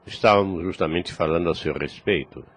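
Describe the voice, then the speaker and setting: Actor as a deep, husky old priest. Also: male voice, brisk. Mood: fatherly, concerned. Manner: formal, fatherly. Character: kindly older priest, frank, worried uncle. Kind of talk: radio drama acting.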